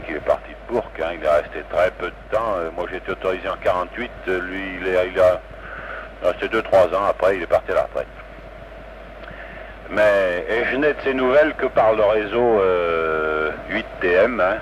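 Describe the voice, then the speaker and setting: Middle-aged man, radio amateur, very low voice, folksy, hesitant, loud static. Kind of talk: casual on-air radio chat. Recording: noisy 1960s AM radio reception.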